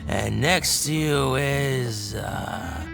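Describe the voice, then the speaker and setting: A man playing a woozy drunk tavern patron in a video game, talking in a slurred, halting, groaning drawl. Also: medium pitch, animated, vocal fry, exaggerated voice. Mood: groggy, relieved. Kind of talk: scripted video game acting. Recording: game music behind the voice. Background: music.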